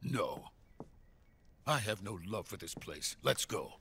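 An actor voicing a grave, bitter middle-aged Soviet scientist in a video game, speaking in formal, measured explanations. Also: male voice, on the low side, animated, breathy edge, exaggerated voice. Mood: wary, sombre. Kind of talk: dramatic video game voice acting. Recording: loud music behind the voice.

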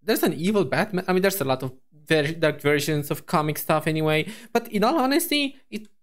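A man streaming as a VTuber, reacting to a video in casual, rambling chat full of fillers and restarts, his voice hoarse. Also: a little high, lively intonation, a little fast, soft background music.

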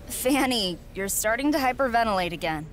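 A woman voicing a determined young female rookie wrestler in a video game, answering calmly and confidently with dry replies. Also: a little high, brisk, a little hiss or hum, close to an everyday voice. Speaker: player character.

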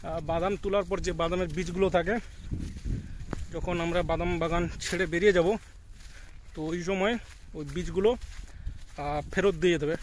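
High-voiced man vlogging outdoors. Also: a little fast, pauses often, a little hiss or hum. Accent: West Bengal, Midnapore. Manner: chatty, addresses viewers as guys, gets excited. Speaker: vlogger filming his group. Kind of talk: casual vlog commentary to camera. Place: outdoors in a cashew grove.

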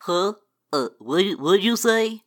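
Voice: mid-range voice, expressive, takes it slowly. An actor playing a folksy, naive, blustering small-town religious zealot in a comic radio play. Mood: flustered. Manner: folksy exclamations, flustered stammering.

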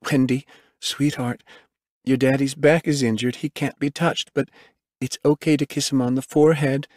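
A man voicing a young female medic in an audiobook, speaking gently and carefully but insistently. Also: medium pitch, level tone, moderate pace, no echo, studio quality, pitched above the performer's own voice, close to an everyday voice. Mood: gentle, sorrowful.

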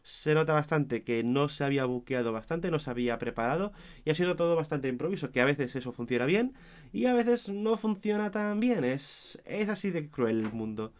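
A hoarse young man giving an opinionated, self-mocking casual review, with his volume swinging between loud and mumbled. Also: fairly high pitch, expressive, moderate pace. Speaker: wrestling show reviewer.

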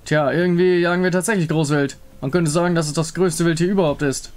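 A man voicing a gruff, irritable warrior who hunts monsters in a game story, brusque and grumbling. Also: fairly high pitch, fairly even intonation, fast, a little mellow, close to an everyday voice. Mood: confident. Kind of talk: fan voice-over of a game story.

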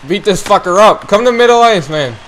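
Male gamer, casual profane chat, excitable, high-pitched. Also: fairly quick, slight background noise. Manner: rowdy, swearing, excitable. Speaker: online gamer.

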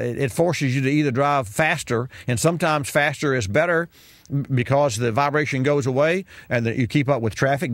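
A man's voice: medium pitch, no echo, clean studio sound. A man in his fifties hosting a call-in car advice radio show, talking casually and rambling with plenty of fillers and restarts. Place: radio studio with colorful sign backdrop. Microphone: studio mic on boom arm, wearing headphones.

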